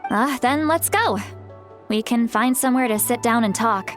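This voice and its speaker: A woman's voice: on the high side, a bit bright, close to an everyday voice. A woman voicing an ancient, self-mocking sorceress in a video game who still sounds like a young woman. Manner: unhurried, teasing, self-mocking. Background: game music.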